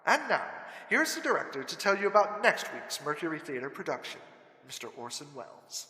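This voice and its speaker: Guy doing old-time radio announcer, formal, forceful, animated, echoey hall. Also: a little high, fairly quick. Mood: formal, proud. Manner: formal, declamatory. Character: formal, brisk 1930s network radio announcer.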